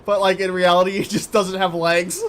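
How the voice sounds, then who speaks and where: A young man with a fairly high voice, chatting loosely and jokingly while playing a game on stream. His tone stays casual and teasing, and a faint hiss sits under the voice. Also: little pitch movement, normal speed, a bit bright. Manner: joking, laid-back banter. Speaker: streamer co-host. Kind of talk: casual gaming chat.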